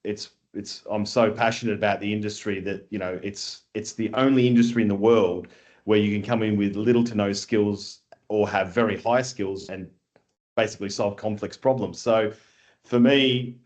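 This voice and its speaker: Aussie-accented guy being interviewed, lowish voice, rambly, lots of ums and restarts. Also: a little mellow. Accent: Australian. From Australia. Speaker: guest, industry scheme chief executive. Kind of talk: casual conversational interview.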